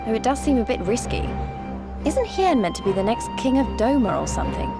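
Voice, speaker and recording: A frank young adventurer. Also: female voice, fairly high pitch, sing-song, a little fast, vocal fry, loud music behind the voice. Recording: game soundtrack under the voice.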